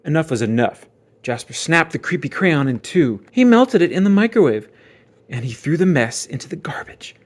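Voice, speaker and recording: A man narrating a children's book aloud as dramatic storytelling, with theatrical emphasis and suspenseful pauses. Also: fairly high pitch, big pitch swings.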